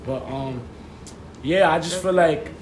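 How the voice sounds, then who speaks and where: A man's voice: fairly high pitch, slow. A young man with a New York accent chatting casually in heavy slang, often checking that he is understood. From New York City. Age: young adult. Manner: slangy, frequent check-in tags. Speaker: podcast guest. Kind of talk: casual conversational interview. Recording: roomy echo, clear background noise.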